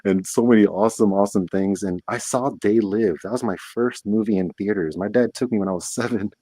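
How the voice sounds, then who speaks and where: A middle-aged man hosting a casual chat on a video call, animated and quick to laugh. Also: on the low side, no room sound. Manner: animated, laughs often, mimics others in quotes. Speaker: host.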